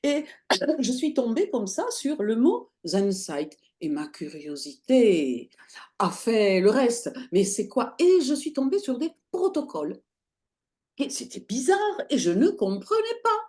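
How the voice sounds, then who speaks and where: Animated woman telling her story warmly. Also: a little high, a little slow. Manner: lively, searching for words with many fillers. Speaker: interview guest, holistic practitioner. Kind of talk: conversational storytelling.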